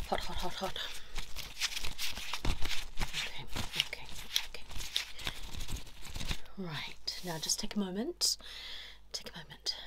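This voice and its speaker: A woman in her thirties playing a chiropractor in an ASMR roleplay, giving short instructions and praise up close, slipping in and out of whispers. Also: on the low side. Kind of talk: fast ASMR roleplay, personal attention. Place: small indoor room. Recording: noisy lo-fi audio with clicks.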